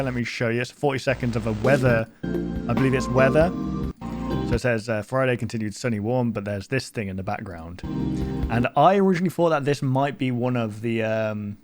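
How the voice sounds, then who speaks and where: Male reaction host, mid-pitched, casual and rambling, music in the background. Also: darkish tone.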